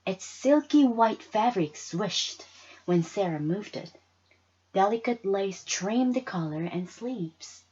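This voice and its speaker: A woman reading a children's story aloud as an expressive narrator. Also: mid-range voice, slow, slightly rough, highs cut off. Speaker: storybook reader.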